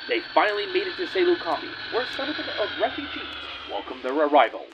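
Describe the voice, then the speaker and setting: Man as animated, suspenseful adventure serial narrator. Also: high voice, fast talker, noisy background. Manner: suspenseful, rhetorical. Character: dramatic old-time adventure serial narrator.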